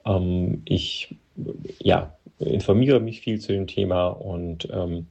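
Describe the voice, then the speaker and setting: A man from Moldova whose first language is Russian, an interview guest talking hesitantly with many pauses and restarts. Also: sits low, fairly even intonation, vocal fry, dark tone, slightly rough, highs cut off. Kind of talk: personal interview account.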